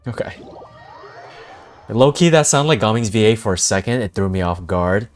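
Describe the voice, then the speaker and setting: A man's voice: lowish voice, animated, moderate pace, faint music behind. A young man giving casual, slangy, exclamatory live reaction commentary as a game streamer.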